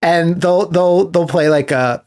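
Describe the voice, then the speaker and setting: A man hosting a podcast in casual chat, telling stories in an animated, sweary way with laughter mixed in. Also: fairly high pitch, takes it slowly. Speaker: podcast host.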